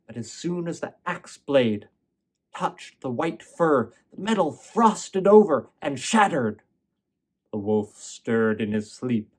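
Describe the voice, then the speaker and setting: A man telling a fairy tale as a storyteller, expressively, with dramatic pauses and emphasis. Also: medium pitch. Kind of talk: performed fairy-tale narration.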